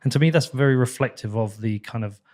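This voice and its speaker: A man's voice: medium pitch, fast, darkish tone. A man hosting a podcast interview, chatty and self-deprecating. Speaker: podcast host. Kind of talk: casual interview talk.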